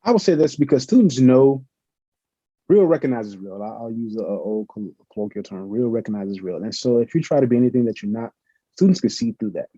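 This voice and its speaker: Middle-aged man, professor guest; fast, husky, very dark tone, muffled lo-fi sound. Earnest, reflective. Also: medium pitch, expressive, no room sound. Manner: earnest, reflective, uses many fillers. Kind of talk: conversational interview answers. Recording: muffled lo-fi audio.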